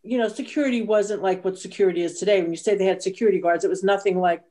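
A woman's voice: mid-range voice, steady tone, very fast, mellow. A woman speaking as a historian on a video-call panel, explaining past events informally with hesitant phrasing. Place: indoors at home, on a video call. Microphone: computer video-call audio.

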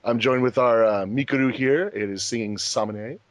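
A man's voice: mid-range voice, normal speed, no room sound. A man hosting a casual group commentary track, talking informally as the director of a fan project. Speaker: host, fandub director.

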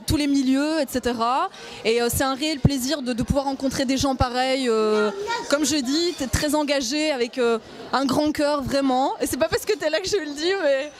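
A young woman in her twenties with a high voice speaks earnestly into a handheld mic at an indoor gathering. She keeps a measured pace and pauses on fillers as she thanks people. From Belgium. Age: 20s. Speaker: university student. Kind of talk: on-camera interview at an event. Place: indoor event hall.

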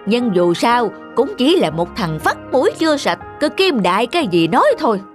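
An actor playing a greedy, scheming middle-aged rich villager in a cartoon fairy tale, pompous, scornful and blustering. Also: male voice, extremely high pitch, big pitch swings, moderate pace, faint music behind.

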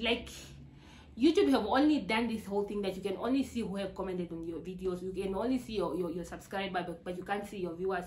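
A woman's voice: mid-range voice, darkish tone, slight background noise. Chatty Namibian woman, echoey room. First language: not English. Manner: chatty, repeats herself, frequent "like" fillers. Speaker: YouTuber. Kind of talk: casual talk to camera.

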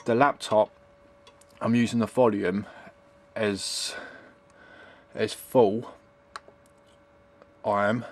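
Low-voiced man, rambling and hesitant. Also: fairly even intonation, very slow, long pauses. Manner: rambling, hesitant, repeats himself with trailing tags like "I am", "it is". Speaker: hobbyist demonstrating retro computing. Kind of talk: casual unscripted tutorial. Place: indoors at a home computer desk. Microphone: handheld camera's built-in microphone.

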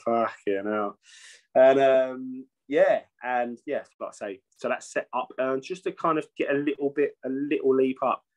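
A man's voice: mid-range voice, a little slow, a little mellow. A man, a metal singer talking casually over a video call from home, rambling with frequent swearing and restarts. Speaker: metal band singer and bassist, guest. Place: home room with shelves. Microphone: video call webcam.

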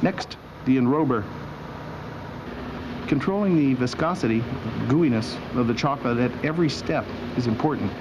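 A man narrating a scripted documentary as host, with a wry, deadpan sense of humor. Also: mid-range voice, moderate pace. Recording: dull old video soundtrack with machinery noise.

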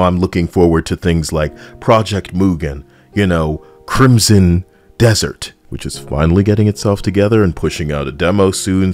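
A man's voice: low voice, a little mellow. A man giving casual, sardonic, rambling video game commentary as host, close on the mic. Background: music behind the voice.

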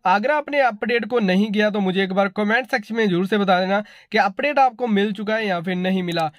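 A man's voice: sits high, brisk. A man casually narrating a phone update review, repeatedly addressing viewers in a repetitive, familiar way. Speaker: tech reviewer, video host.

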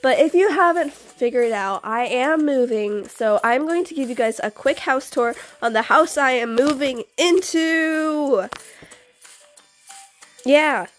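A woman's voice: sits high, slow, soft background music. A young woman doing a casual, chatty house-tour voice-over for a game video, often interrupting herself in an informal way. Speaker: video creator.

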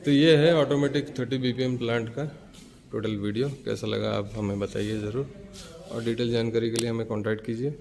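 A man's voice: mid-range voice, fairly even intonation, brisk, gravelly, darkish tone. A man from India walking through a large indoor factory with a handheld phone, explaining each machine of a bottling plant step by step. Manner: explanatory, points things out step by step to the viewer. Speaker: machine maker's representative. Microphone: handheld phone camera while walking.

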